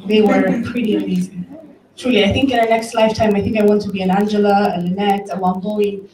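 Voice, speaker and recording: Roomy distant recording, faint noise, woman speaker from Ethiopia, mellow voice, conversational public talk, storytelling. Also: medium pitch, fairly even intonation, fast. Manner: conversational, anecdotal, addresses the audience directly. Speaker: conference speaker, tech company director. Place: event room, before an audience.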